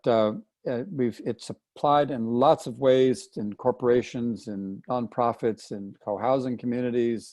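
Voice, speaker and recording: A man explaining a method on an online call in a measured, hesitant way, with many ums and uhs. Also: medium pitch, warm tone, dry recording. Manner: measured, hesitant, frequent fillers. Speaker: expert introducing the topic. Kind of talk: casual explanatory talk. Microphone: video call.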